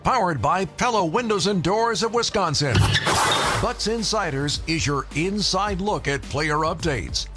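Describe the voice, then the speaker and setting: Lively male promo announcer over music. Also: sits high, measured pace. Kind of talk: scripted sports radio promo.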